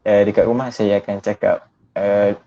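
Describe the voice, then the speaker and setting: A young man in his twenties from Malaysia, a university student answering interview questions politely and in a measured way. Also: on the low side, level tone, vocal fry, mellow, a little hiss or hum. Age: 20s. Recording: dull lo-fi sound.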